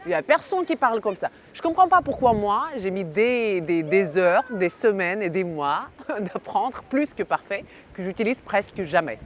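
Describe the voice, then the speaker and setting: Ukrainian-accented woman, interviewee, animated and wry, mid-pitched, light hiss behind. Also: normal speed. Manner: animated, mocking, laughs often. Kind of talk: documentary interview.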